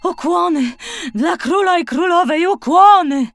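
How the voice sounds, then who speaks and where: A woman's voice: extremely high pitch, lively intonation, unhurried, dry recording, pitched above the performer's own voice, exaggerated voice. A woman voicing a fat, puffing, indignant male royal cook in a children's storybook. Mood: flustered, indignant.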